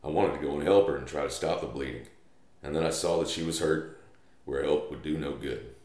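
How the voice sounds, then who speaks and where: A man's voice: a bass voice, a little fast, a little mellow. A man voicing a rugged, reassuring cowboy of the Old West who talks in folksy phrases, in a storybook reading. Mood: reflective. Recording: roomy, echoey room sound.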